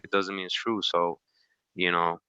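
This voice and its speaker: Low, bright, forceful man chatting casually. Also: little pitch movement, moderate pace, smooth, dry recording, dull audio. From Costa Rica. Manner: informal, frequent "you know". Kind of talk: casual discussion chat.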